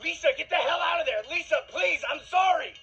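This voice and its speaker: Man acting out a desperate boyfriend, high anguished shouting. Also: brisk, creaky, faint music behind, exaggerated voice. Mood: frantic shouting. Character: desperate young boyfriend, pleading and screaming in anguish.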